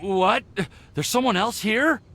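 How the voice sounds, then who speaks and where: A man's voice: high voice, takes it slowly, gravelly, sharp tone, a little hiss or hum, heavily acted. A man voicing a humble, earnest young man in a video game, nervous and hesitant in his speech. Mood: startled. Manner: hesitant, stammering. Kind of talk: English video game voice acting.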